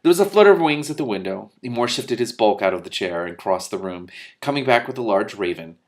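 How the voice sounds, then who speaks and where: A man hosting an expressive read-aloud of a novel, breaking off for casual, candid reactions. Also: fairly low pitch, a little fast. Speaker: host reading a novel aloud with commentary.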